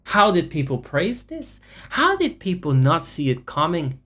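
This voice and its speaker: A man's voice: on the high side, wildly swooping pitch, unhurried, fairly bright tone. A man livestreaming a video game, giving casual commentary in a profane, sarcastic, ranting way. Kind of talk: casual live gameplay commentary.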